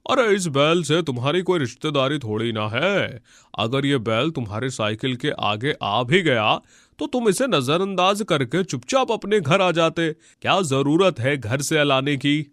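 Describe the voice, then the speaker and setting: A man playing a cynical, tight-fisted village healer in an animated folk tale, scolding and dismissive in manner. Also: fairly high pitch, unhurried, dry recording, clean studio sound.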